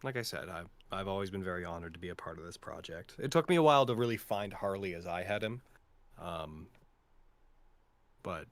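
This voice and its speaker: A man who works as a voice actor, talking casually and reflectively in a talkative, expressive way. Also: sits low, brisk, no echo. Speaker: voice actor in an audio drama. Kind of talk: casual reflective cast chat.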